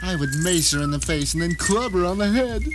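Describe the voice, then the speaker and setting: A man plays a bitter, vindictive captive telling his own story in an audio drama, in a notably high male voice. The delivery is melodramatic and sardonic, each phrase placed deliberately, with a faint rain-like noise behind it. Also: animated. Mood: vengeful. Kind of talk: dramatic first-person audio drama monologue.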